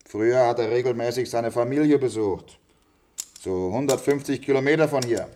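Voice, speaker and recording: A man's voice: medium pitch, normal speed, warm tone, close to an everyday voice. An actor playing a chummy, nosy handyman in a German science-fiction radio drama, talking in a blunt, colloquial way. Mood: matter-of-fact. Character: chummy, nosy institute handyman, matter-of-fact working man.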